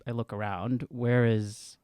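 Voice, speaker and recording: A man's voice: medium pitch, level tone, unhurried, a little mellow, smooth, dry recording, clean studio sound. A man playing a tabletop role-playing game, improvising his character's dialogue in a casual, conversational way.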